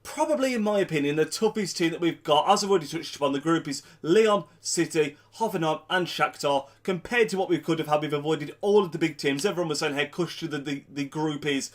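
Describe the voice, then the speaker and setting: A man's voice: on the high side, brisk, few pauses. A young man with a blocked nose from a cold, talking through a football match preview in a chatty vlog, doubling up words for emphasis. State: congested with a cold. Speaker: football fan vlogger.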